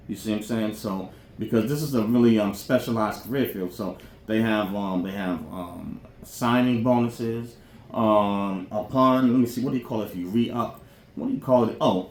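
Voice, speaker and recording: A man's voice: on the low side, a little mellow. A man talking off the cuff as a podcast host, explaining things in a rambling, colloquial way with frequent check-in phrases. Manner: rambling, colloquial, frequently says "you know what I'm saying". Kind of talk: informal off-the-cuff monologue explaining military careers. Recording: roomy echo, faint background noise.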